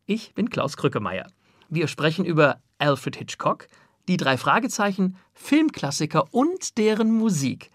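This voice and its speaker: High-voiced male radio interviewer. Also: very animated, unhurried, a bit bright, no room sound, studio quality. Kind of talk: prepared interview questions.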